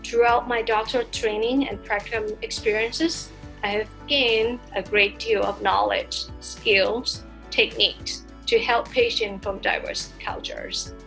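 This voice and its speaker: A woman's voice: a little high, steady tone, measured pace, gravelly, a bit bright, faint music behind, low-quality recording. A woman with a Thai accent, a doctoral student, giving a careful, formal testimonial about her training. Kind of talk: prepared testimonial.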